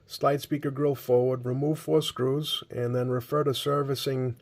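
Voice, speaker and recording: Man, mid-pitched voice, easygoing, halting delivery, casual hobbyist demonstration, thinking aloud. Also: flat delivery, a little mellow. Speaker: radio repair hobbyist hosting a video. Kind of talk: casual unscripted hands-on demonstration commentary.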